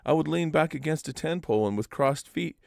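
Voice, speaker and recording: A man's voice: fairly high pitch, fairly quick, gravelly, a little mellow, no echo, clean studio sound, voice pushed up. A man voicing a young, eager rabbit in a storybook tale, with a sighing, pleading manner. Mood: wistful, eager. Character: young eager rabbit, boastful then woeful.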